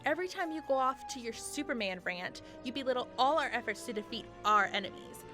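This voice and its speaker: Woman acting female villain, fairly high voice, blunt, callous, sarcastic, comedic radio play, music behind. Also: expressive, quick pace, lightly acted. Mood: annoyed, sarcastic.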